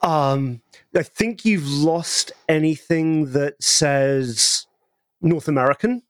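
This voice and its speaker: A man with a somewhat high voice and a dry, close sound talks casually on a podcast panel. He speaks thoughtfully and pauses to search for his words. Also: clean tone, no echo. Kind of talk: casual chat.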